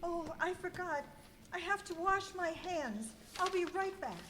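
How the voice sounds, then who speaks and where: A woman's voice: very high-pitched, animated, a little slow. A woman playing a prim, demure saleswoman with a secret superhero identity in an amateur radio skit. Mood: coy. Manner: prim, formal. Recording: echoey hall with noise and clicks.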